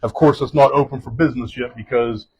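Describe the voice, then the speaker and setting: A fire chief leading a group around a building indoors, speaking loudly in a casual, halting way with many fillers and restarts. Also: male voice, mid-range voice, steady tone, slightly rough. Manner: loud voice. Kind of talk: casual spoken tour guiding.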